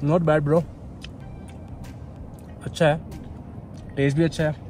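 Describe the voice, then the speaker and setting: A man's voice: on the high side, little pitch movement, slow, a little mellow, soft background music. A young man chatting casually and informally to camera as a solo travel vlogger, outdoors. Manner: informal, bro-peppered talk. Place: outdoors at a mountain campsite.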